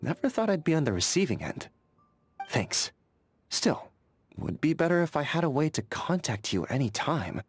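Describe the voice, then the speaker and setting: Earnest, animated young fantasy sentry. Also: male voice, fairly high pitch, moderate pace, slightly rough, soft background music. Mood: friendly, wry. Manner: earnest, conversational, methodical. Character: earnest, vigilant young male fantasy sentry, friendly but overcautious. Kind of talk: video game character dialogue.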